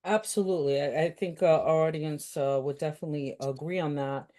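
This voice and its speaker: A woman with a New York accent hosting an interview, talking casually with many fillers and pauses. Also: sits low, fairly even intonation, warm tone. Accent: New York City. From New York City. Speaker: interview host.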